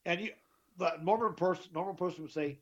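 A middle-aged man with a deeper voice, telling his story as an interview guest, emphatic and often repeating himself. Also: fast talker. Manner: emphatic, repeats and restarts words. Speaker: guest, author. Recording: dull lo-fi sound.

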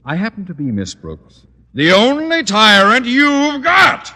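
An actor in an old-time radio comedy playing a pompous, short-tempered tyrant of a boss, mock-polite and gloating. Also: male voice, high voice, wildly swooping pitch, a little slow, sharp tone, full character voice. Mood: smug, mock-polite. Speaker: supporting actor in a radio sitcom. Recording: reverberant vintage radio stage recording.

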